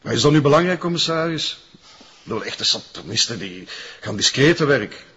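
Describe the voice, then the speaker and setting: Flemish club owner, played by a male actor: medium-pitched, evasive and defensive, TV drama, muffled with faint noise. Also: animated, moderate pace, fairly bright tone, close to an everyday voice. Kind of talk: naturalistic TV crime drama dialogue.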